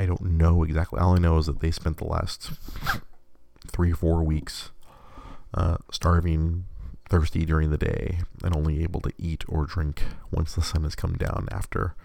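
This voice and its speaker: A man hosting a casual live podcast while sick, with a congested voice and extra bass from his illness, chatting in a rambling, self-correcting way. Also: little pitch movement, moderate pace, creaky, mellow, no echo. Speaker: podcast host.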